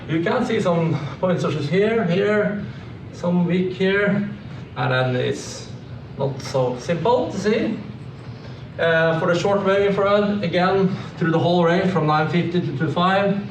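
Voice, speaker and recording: Man, slightly high voice, halting technical presenter talking through slides; echoey room with clear noise. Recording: echoey room, distant mic, clearly noisy.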